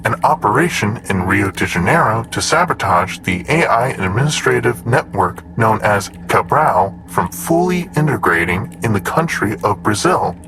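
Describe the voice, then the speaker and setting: A low male voice with lively intonation, as a precise, unflappable android assistant in an audio drama, played by a man. The delivery is formal and measured, with clear noise and typing behind it. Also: close to an everyday voice. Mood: matter-of-fact. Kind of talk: audio drama acting, report reading.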